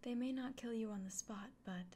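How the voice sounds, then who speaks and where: A woman playing a kindly, caring innkeeper in an ASMR fantasy roleplay, whispering gently and reassuringly. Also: on the high side, fairly quick, natural voice. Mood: caring, cautionary.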